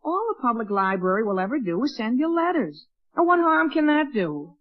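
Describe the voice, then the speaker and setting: A man's voice: very high voice, very animated, moderate pace, exaggerated voice. An actor playing a meddling, whiny teenage boy sidekick in a 1940s radio comedy. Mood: breezily confident. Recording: muffled vintage radio recording.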